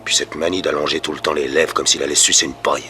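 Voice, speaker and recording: A man's voice: very deep voice, level tone, quick pace, pushed and bright, faint music behind. An actor playing a kindly, lonely lame working man in a 1960s radio drama, blunt and earnest. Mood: suspicious, probing. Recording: faintly noisy old radio recording.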